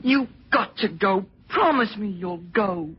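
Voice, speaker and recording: A husky, muffled voice from an old radio play: a nervous young Englishman. He stammers and hesitates, anguished and guilt-ridden, with a British accent. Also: high-pitched, animated, slow pace, full character voice. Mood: agitated pleading. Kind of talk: 1940s radio drama acting.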